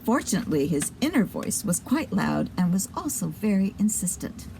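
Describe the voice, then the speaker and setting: A woman's voice: medium pitch, very animated, moderate pace, creaky, noisy background. A woman hosting a children's show and telling a story, with chatty asides to her listeners.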